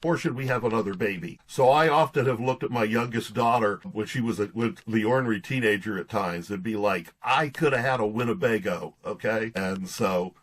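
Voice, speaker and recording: A man's voice: lowish voice, fairly quick, few pauses. A middle-aged man from Pittsburgh speaking as an interview guest, telling personal stories in a folksy, conversational way. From Pittsburgh, United States. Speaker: guest, pastor and author.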